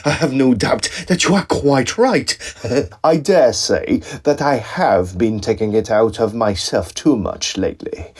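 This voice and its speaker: A man playing a nervy, morbid young Egyptologist in an audio drama, halting and over-polite, with a husky voice. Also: medium pitch, darkish tone. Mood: nervous, evasive. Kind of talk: acted audio drama dialogue.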